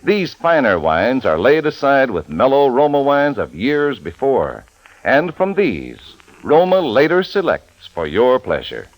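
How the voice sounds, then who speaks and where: Animated American vintage radio announcer, male. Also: mid-range voice, measured pace, no room sound, poor audio. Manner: formal, polished sales delivery. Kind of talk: 1940s radio announcing and sponsor ad. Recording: vintage radio recording with music.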